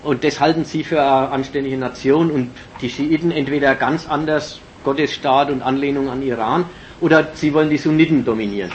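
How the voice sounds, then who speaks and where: A man giving an emphatic political lecture, arguing step by step and often pausing with fillers. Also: mid-range voice, steady tone. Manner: emphatic, analytical, with many fillers and restarts. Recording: dull lo-fi recording with faint hiss.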